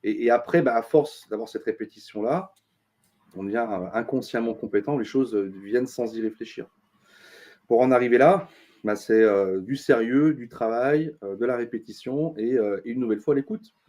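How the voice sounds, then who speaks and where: A middle-aged man, a consultant being interviewed, talking earnestly with many pauses and restarts. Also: mid-range voice, darkish tone. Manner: thoughtful, many fillers and restarts, earnest advice-giving. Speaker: interview guest, business consultant. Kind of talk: casual interview talk.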